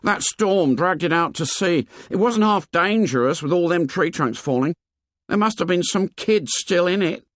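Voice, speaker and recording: A man's voice: high voice, lively intonation, normal speed, no echo, studio quality, voice pushed up. A man voicing an anxious, talkative young schoolboy with colloquial, ungrammatical speech in an audiobook. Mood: eager, chatty. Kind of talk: audiobook character dialogue.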